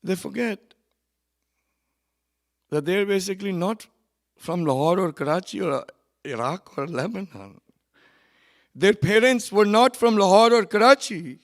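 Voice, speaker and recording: A man giving a sermon at a memorial gathering, moving between quiet, conversational asides and loud, emphatic lines, with quick runs of recited Arabic verse. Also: sits high, lively intonation. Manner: swings from soft asides to loud emphatic preaching. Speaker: religious speaker at a memorial gathering. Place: hall at a community centre.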